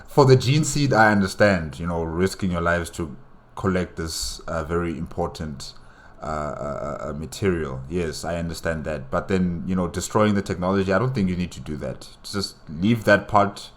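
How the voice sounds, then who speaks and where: A man's voice: low voice, moderate pace, a little hiss or hum. A man giving casual, off-the-cuff commentary as a reaction host, thinking aloud with hesitations and repeated words.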